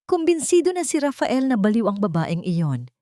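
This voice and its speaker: A woman's voice: a little high, very animated, moderate pace, warm tone, no room sound, clean studio sound, voice pushed up. A woman voicing a scheming young female villain in an audio story, silky, insinuating and manipulative. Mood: gloating, conspiratorial.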